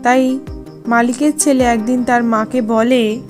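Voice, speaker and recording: A woman narrates a scripted folk tale for a cartoon in storybook fashion. Her voice is high, with faint music playing underneath. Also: level tone, a little slow, darkish tone.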